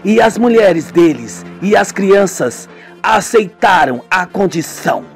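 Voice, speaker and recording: A man voicing a cold female cult villager who states threats calmly and matter-of-factly. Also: lowish voice, takes it slowly, soft background music. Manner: calm, matter-of-fact menace.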